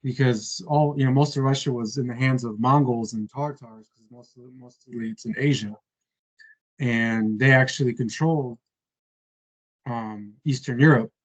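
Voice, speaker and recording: A man's voice: medium pitch, steady tone, moderate pace, rough recording. A man casually chatting on a video call, thinking aloud with many fillers and hedges. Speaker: conversation partner.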